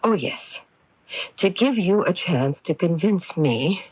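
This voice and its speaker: A bitter middle-aged woman speaks with sardonic, theatrical menace in a radio mystery. Her delivery is highly varied in pitch, on a dry, slightly dull 1980s broadcast recording. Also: animated, takes it slowly, a little mellow, husky, no echo, exaggerated voice. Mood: sardonic, composed. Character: bitter middle-aged woman, coolly threatening murder at gunpoint. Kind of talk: radio mystery drama acting.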